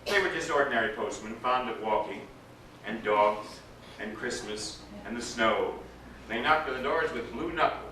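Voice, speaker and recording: A man, an actor, giving an expressive, dramatic reading of a literary story aloud. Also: mid-range voice, moderate pace. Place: indoor live reading to a gathering. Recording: echoey room, distant mic, background noise.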